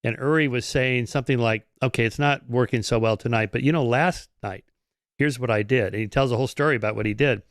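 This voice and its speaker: A man of seventy hosting a podcast, telling stories in a relaxed way with frequent restarts. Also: medium pitch, fast talker, no room sound, clean studio sound. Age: 70s. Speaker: podcast host. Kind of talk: casual interview chat. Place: home study with bookshelves. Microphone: headphones with boom microphone.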